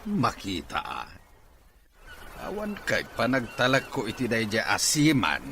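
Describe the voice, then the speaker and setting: A man's voice: on the low side, very animated, measured pace, pushed and bright, a little hiss or hum, heavily acted. A man playing a distrustful, grumbling older father in an Ilocano audio drama. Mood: suspicious. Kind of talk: Ilocano radio-style drama acting.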